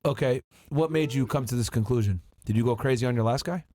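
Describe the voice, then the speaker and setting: Blunt fast-talking male host. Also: medium pitch, mellow. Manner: blunt, challenging, interrupts. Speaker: podcast host. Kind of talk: casual debate talk.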